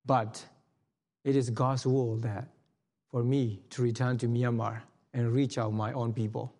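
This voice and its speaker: A man's voice: mid-range voice, flat delivery, warm tone. Earnest man preaching in a hall. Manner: earnest, halting, many restarts and fillers. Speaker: missionary speaker. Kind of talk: sermon testimony. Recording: long hall reverb.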